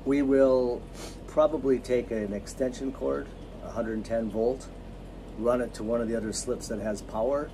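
A man's voice: medium pitch, steady tone, normal speed, background noise. An older man chatting on a boat vlog. He explains things in a dry, teasing, matter-of-fact way, picked up by a camera held by someone else. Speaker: boat owner and co-host. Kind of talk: casual chat and practical explanation. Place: boat and marina dock, indoors and outdoors.

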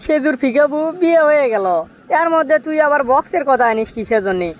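A man voicing a cheeky young village friend in a comic cartoon, teasing and glib, with a Bogura accent and a pitched-up effect. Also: lively intonation, brisk. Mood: reassuring banter. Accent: Bogura, northern Bangladesh. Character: cheeky young village buddy, teasing self-styled matchmaker.

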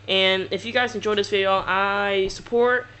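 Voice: medium pitch, fairly even intonation, moderate pace, bright, forceful tone, slight background noise, poor audio. A YouTuber telling a personal story in a casual, rambling, slangy way. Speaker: YouTuber narrating a personal story over gameplay.

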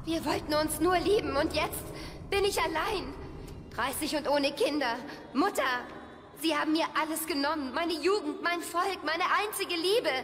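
A woman's voice: sits high, moderate pace, fairly bright tone. An actress playing a devoted thirty-year-old noblewoman healer in a fantasy audio drama. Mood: anguished, pleading. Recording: long hall reverb, faint music.

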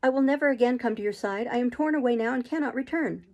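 A woman's voice: a little high, quick pace, darkish tone, voice pushed up, lightly acted. A woman voicing a proud, devoted young woman in love, earnest and emphatic in a storybook reading. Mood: hurt, disbelieving.

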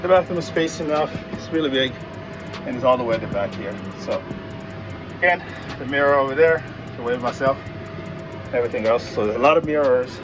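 A man's voice: medium pitch, brisk, long pauses, dull audio, music in the background. A man giving unscripted, casual commentary as a travel vlogger, recorded on a handheld phone aboard an airliner. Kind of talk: unscripted on-location vlog commentary. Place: inside an airliner cabin.

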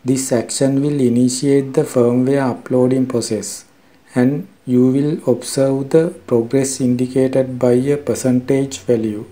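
A man narrating a scripted software tutorial in a formal, measured, step-by-step way. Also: mid-range voice, fairly even intonation. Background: background music.

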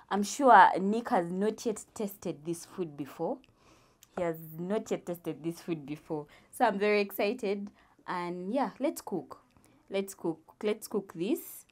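Lowish-voiced young woman. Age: young adult. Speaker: guest vlog presenter. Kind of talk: casual cooking explanation.

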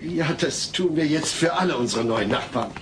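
A man's voice: fairly high pitch, animated, moderate pace, lightly acted. An actor playing a mild-mannered, long-suffering suburban father in a sitcom audio drama, dry and sarcastic. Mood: awkwardly polite. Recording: noisy cassette tape with clicks.

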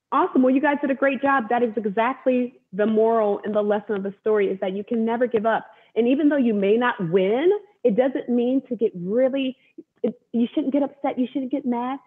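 Animated woman hosting children's story time. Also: a little high, breathy edge. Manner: encouraging, teacherly. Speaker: author and event host. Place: room at home, indoors. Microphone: video call, wireless earbud. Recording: dull video-call audio.

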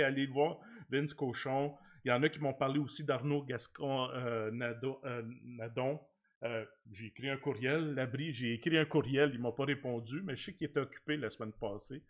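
Quebecois guy in his 40s hosting a casual sports stream, chatty, medium pitch, slangy, close mic. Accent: Québécois. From Quebec, Canada. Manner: chatty, effusive thanks, informal slang. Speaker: sports livestream host. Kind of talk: casual livestream chat with guest and viewers. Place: small home studio room, indoors. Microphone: large desk microphone close up.

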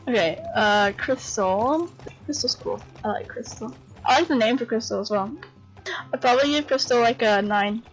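A woman's voice: on the high side, normal speed, poor audio. A young woman chatting casually on voice chat, rating things with lots of fillers and giggles. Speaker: channel host rating horses. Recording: faint game music behind.

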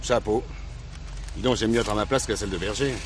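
An actor playing a slangy, doubtful gangster's sidekick in a 1970s crime drama. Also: male voice, fairly low pitch, a little fast, natural voice. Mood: admiring. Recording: clear traffic and car noise.

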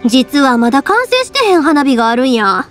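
Young fireworks-shop girl, Kansai accent, high bright voice, bouncy pitch, chatty, game music behind. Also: brisk. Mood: cheerful. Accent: Kansai Japanese. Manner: lively, chatty, warm teasing. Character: cheerful young woman who runs a fireworks shop, lively. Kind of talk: game voice acting.

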